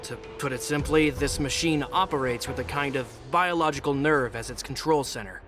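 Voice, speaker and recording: A man voicing a knowledgeable adult man in a game, explaining in a measured way. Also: mid-range voice, close to an everyday voice. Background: game music.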